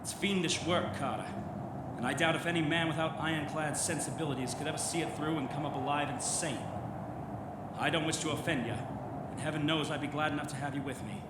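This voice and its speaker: A man's voice: on the high side, heavy background noise, natural voice. A man playing a headstrong, intense occult explorer in an old-time radio horror drama, commanding and theatrical. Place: theatre stage in live performance. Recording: roomy live stage, loud music underneath. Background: loud music.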